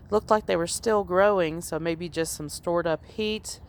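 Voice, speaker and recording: A woman hosting a casual outdoor garden walk-through, slightly congested while getting over an illness, talking in an unhurried, plain way with frequent ums. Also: on the low side, slight background noise.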